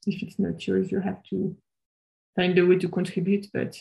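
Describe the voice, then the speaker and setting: A woman's voice: fairly low pitch, flat delivery, moderate pace, vocal fry, dark tone, rough recording. French-accented woman, thoughtful and hesitant. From France. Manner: thoughtful, hesitant, frequent laughs and fillers. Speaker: planetary scientist guest. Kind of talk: casual interview answers.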